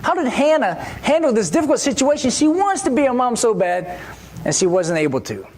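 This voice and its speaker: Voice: medium pitch, big pitch swings, brisk, darkish tone, slight background noise. Conversational preacher asking rhetorical questions. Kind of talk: sermon. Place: church.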